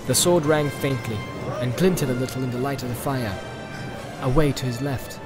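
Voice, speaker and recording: A man's voice: mid-range voice, normal speed. A man reading a fantasy audiobook as narrator, in a dramatic storytelling style. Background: music and sound effects.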